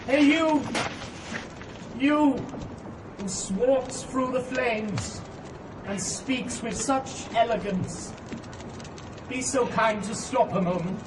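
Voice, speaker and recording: High, slow damned soul, echoing. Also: male voice, background noise. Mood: imperious. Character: contemptuous damned soul calling from a burning tomb. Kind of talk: theatrical audio drama acting. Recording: distant echoing voice under loud music.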